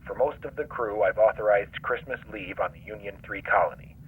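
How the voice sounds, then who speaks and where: A steady acting starship captain, played by a man gives orders calmly and with dry humor. His voice sits low and a little husky. The audio sounds dull and lo-fi. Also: fairly quick. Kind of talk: fan audio drama acting.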